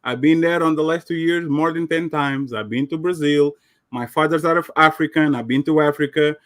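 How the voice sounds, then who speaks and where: A man's voice: a little high, fairly quick, a bit bright, no echo. A man from Portugal with a Portuguese accent, calling in and arguing insistently in broken English. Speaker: caller. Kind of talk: heated call-in debate.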